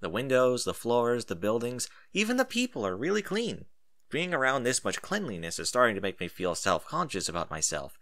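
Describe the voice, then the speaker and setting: A man narrating a first-person story in an animated audiobook style. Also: mid-range voice, brisk, no room sound, clean studio sound.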